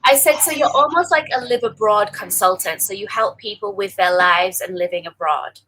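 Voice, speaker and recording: A woman's voice: mid-range voice, moderate pace, sharp tone, slight background noise, poor audio. A woman hosting an interview over a video call, chatty and upbeat, with many "um" and "like" fillers. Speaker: host.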